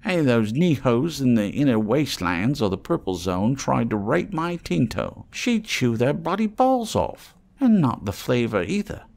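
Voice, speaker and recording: A man voicing an eccentric old tramp trader in an audiobook, wheedling in excitable, salty, rambling patter. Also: mid-range voice, normal speed, creaky, few pauses, voice pushed up. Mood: indignant, excitable.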